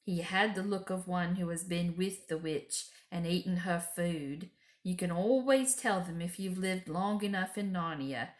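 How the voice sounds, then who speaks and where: A woman's voice: lowish voice, unhurried, reverberant, lightly acted. A woman acts out a male talking beaver from a children's storybook. He is grave and wary, making blunt, weighty pronouncements. The room rings noticeably around the voice. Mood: grim, certain. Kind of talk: children's storybook character reading.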